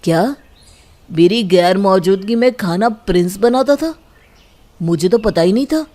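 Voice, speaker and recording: A woman's voice: mid-range voice, expressive, measured pace, mellow, lightly acted. A woman voicing an earnest, mild married older brother in a cartoon family story. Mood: earnest, surprised. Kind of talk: cartoon dialogue.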